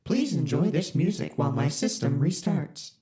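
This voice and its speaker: An actor voicing a deadpan corporate ship AI. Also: mid-range voice, normal speed, loud music behind the voice, natural voice. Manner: flat, polite, bureaucratic. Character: deadpan corporate spaceship computer AI. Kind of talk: audio drama.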